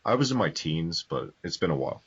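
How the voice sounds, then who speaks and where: A man co-hosting a casual video game podcast, quick and opinionated, asking pointed questions. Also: low voice, sing-song, slightly rough. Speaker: podcast co-host. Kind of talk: casual chat about video games. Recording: dull lo-fi podcast audio.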